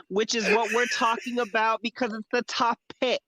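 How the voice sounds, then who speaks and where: Podcast panelist: man, bright forceful voice, sassy and blunt, profane slang, dry but dull recording. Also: very high voice, little pitch movement, normal speed, no room sound. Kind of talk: heated casual debate.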